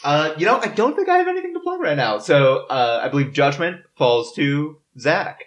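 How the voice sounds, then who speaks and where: A man's voice: fairly high pitch, very animated, normal speed, fairly bright tone, lo-fi sound. Rambling, self-deprecating male podcast host. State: tipsy. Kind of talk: casual comedic podcast chat. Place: in-person table recording.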